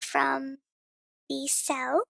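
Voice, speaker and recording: A woman at a tabletop game plans the party's next move out loud. Her voice is high, bright and a little gravelly, recorded dry and close. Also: little pitch movement, drawn-out words, no echo. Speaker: player.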